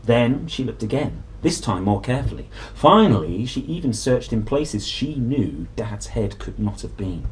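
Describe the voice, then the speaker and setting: A man's voice: medium pitch, lively intonation, normal speed, few pauses, a little hiss or hum, rough recording. A man reading a children's story aloud expressively, with dramatic emphasis and playful delivery. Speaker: storybook reader. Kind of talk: animated children's story narration.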